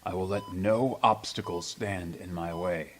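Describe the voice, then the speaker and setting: A man playing an ailing, proud old politician in a radio drama, speaking in formal, pompous, measured phrases. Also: low-pitched, fairly even intonation, slight background noise. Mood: scheming, determined.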